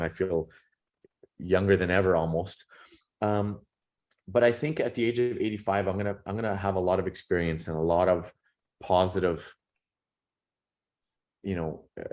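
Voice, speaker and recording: A man in his thirties answering interview questions in a relaxed, reflective way, often repeating words as he gathers his thoughts. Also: deep voice. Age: 30s. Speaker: podcast guest.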